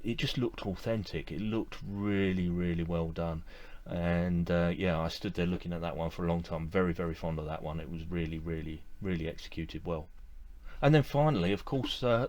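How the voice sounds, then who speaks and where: A middle-aged man talking to the camera from a room with display shelves, recalling things he liked in a relaxed, enthusiastic way with lots of fillers and repeated words. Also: low-pitched. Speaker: model railway collector vlogger. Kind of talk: casual to-camera review.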